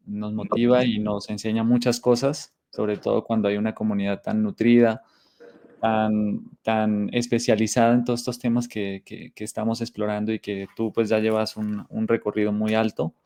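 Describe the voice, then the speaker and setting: A man's voice: lowish voice, flat delivery, normal speed, warm tone, clean tone. A Colombian man with a slightly Mexican tinge, speaking as a guest in an online talk, reflective and thoughtful. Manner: reflective, thoughtful, with frequent restarts.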